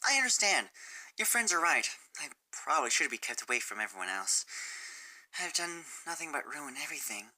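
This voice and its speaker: A woman voicing a dejected, guilt-ridden teenage girl in a fan story reading, downcast and halting. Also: low voice, very animated, sharp tone, voice pushed up, full character voice, poor audio.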